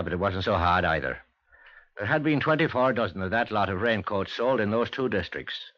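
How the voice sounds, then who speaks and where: An actor playing a dutiful, plain-spoken British police officer in a 1950s radio drama, colloquial and down-to-earth. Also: male voice, on the low side, lightly acted. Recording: dull, lo-fi 1950s radio recording.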